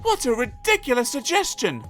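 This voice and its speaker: A touchy teenage lad from a storybook voiced by a narrator. He is scornful and petulant, his high voice bright and full of indignant swings. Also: wildly swooping pitch, normal speed, soft background music, exaggerated voice. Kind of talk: children's story character voice.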